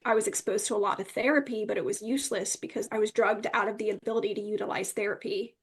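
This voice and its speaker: A woman's voice: mid-range voice, fairly even intonation, quick pace, breathy edge. A woman speaking as an interview guest, giving candid personal testimony in an earnest, rambling way that often seeks agreement. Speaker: interview guest recounting personal experience with psychiatric medication.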